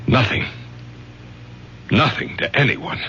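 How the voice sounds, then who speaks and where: A man's voice: takes it slowly, bright, forceful tone. An actor playing a protective middle-aged father, a college professor, with an American accent and a firm, urgent manner in radio drama style. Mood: firm, commanding. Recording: dull lo-fi old radio broadcast, faint hum.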